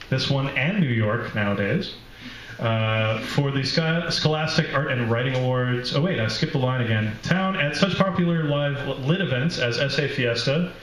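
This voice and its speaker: A man's voice: medium pitch, fairly bright tone. A man in his thirties introducing a guest at a live event, reading from notes into a microphone. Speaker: event host introducing a reader. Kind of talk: spoken introduction read from notes. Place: small indoor bar room with brick walls. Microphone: microphone on stand, close. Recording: roomy live venue, background noise.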